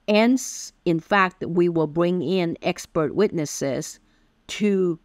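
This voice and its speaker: A middle-aged woman from Taiwan, whose first language is Taiwanese Hokkien, speaking as a patent attorney guest and explaining carefully with frequent pauses and "um"s. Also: on the low side, dry recording, studio quality. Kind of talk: interview explanation.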